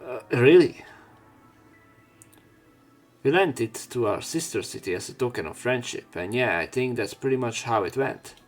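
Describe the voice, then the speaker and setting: A man's voice: medium pitch, lively intonation, moderate pace, long pauses, soft background music. A man reading a visual novel's text aloud, breaking off now and then for casual, jokey commentary. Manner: casual, jokey asides between read lines. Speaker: let's play narrator.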